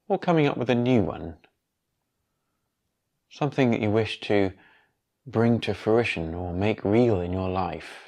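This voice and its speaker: A man guiding a yoga nidra meditation in a calm, unhurried, instructional manner with long pauses. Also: lowish voice. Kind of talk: guided meditation.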